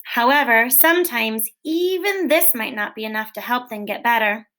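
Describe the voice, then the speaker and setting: A woman teacher reading a children's book aloud in a gentle, clear, expressive way, posing questions to young listeners. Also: a little high, moderate pace. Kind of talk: read-aloud storytelling for children.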